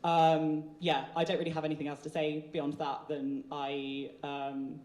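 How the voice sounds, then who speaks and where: A mid-pitched voice with very little melody, answering earnestly and stopping to restart phrases. The sound rings around a roomy, echoing hall. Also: little pitch movement, unhurried, darkish tone. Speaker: election candidate. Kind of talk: answering a debate question. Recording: echoey hall.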